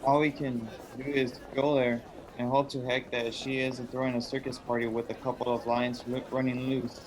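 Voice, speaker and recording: A man's voice: mid-range voice, flat delivery, normal speed, crowd noise, lightly acted, rough recording. A man playing a wry, wisecracking, resigned husband in a radio drama, with a sardonic, joking delivery.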